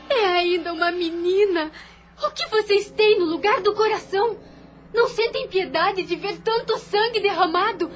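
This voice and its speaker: A woman playing a compassionate young heroine of an audio novel. Her voice is very high, impassioned and defiant, with music behind it. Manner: impassioned, dramatic.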